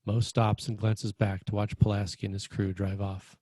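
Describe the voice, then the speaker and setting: Casting: male screenplay narrator, dark relaxed tone, dry recording, straightforward scripted delivery. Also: on the low side, moderate pace, dry recording. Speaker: stage-direction narrator.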